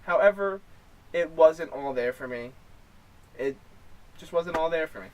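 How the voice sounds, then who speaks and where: A man's voice: a little high, expressive, measured pace, darkish tone. Man reviewing films, off the mic. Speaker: co-host of a film review show. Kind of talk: casual film review chat. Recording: distant mic, faint room noise.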